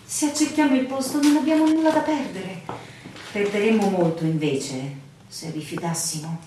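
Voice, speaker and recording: An actress playing an anxious, devoted young fiancée in a radio drama, earnest and pleading in a theatrical way. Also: female voice, medium pitch, moderate pace, mellow, natural voice. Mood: resigned, urging. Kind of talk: Italian radio drama acting. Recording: very echoey, distant, faintly noisy recording.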